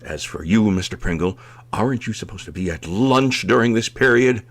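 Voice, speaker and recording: A man's voice: fairly low pitch, animated, normal speed. A man playing a stern, pompous, huffing school principal in old-time radio comedy style. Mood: indignant. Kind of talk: 1940s radio comedy acting.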